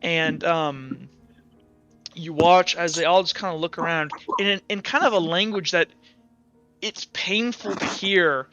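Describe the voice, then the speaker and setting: Bright, higher-voiced man narrating a tabletop game. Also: smooth, no room sound. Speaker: game master. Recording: dull lo-fi audio with background music.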